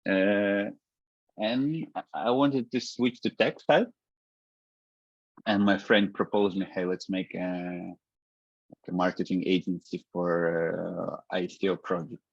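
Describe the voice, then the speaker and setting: A man, a guest in an interview, talking in a halting way with many restarts and fillers. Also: low-pitched, steady tone, gravelly, slightly rough, dry recording, lo-fi sound. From Ukraine. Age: 30s. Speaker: guest, startup founder. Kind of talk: casual interview talk.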